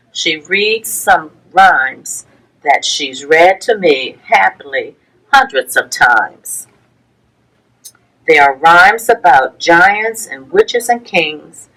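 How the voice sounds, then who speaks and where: A woman reading a rhyming children's picture book aloud as a story-time reader, with deliberate, rhythmic phrasing for young listeners. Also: on the low side, a bit bright, rough recording.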